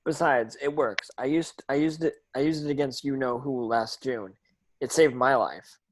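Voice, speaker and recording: A man's voice: mid-range voice, moderate pace, natural voice. A man reading the part of an earnest teenage boy wizard instructing his schoolmates in an amateur script read-through. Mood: insistent. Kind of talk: amateur table read of a screenplay.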